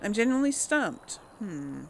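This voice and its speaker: A woman's voice: mid-range voice, big pitch swings, measured pace, gravelly, noisy background. A woman giving casual video game commentary, thinking aloud in an exasperated way. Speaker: host playing a video game. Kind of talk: casual let's play commentary.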